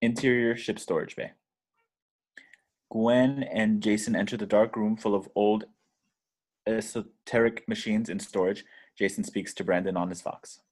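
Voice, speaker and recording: A man with a fairly low voice reads screenplay action lines aloud. The delivery is scripted narration, setting scenes and describing movements for a table read. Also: steady tone, unhurried, pauses often, a little mellow, breathy edge. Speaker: reader of stage directions.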